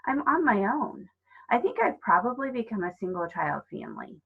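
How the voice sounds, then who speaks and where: Earnest, curious little storybook girl, played by a woman reader, pitched up, husky, plain-spoken. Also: big pitch swings, normal speed, phone-quality sound, exaggerated voice. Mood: matter-of-fact.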